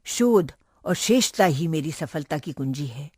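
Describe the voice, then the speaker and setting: A woman's voice: low voice, lively intonation, measured pace, mellow, husky, no room sound, clean studio sound. A woman reading scripted narration as a radio presenter.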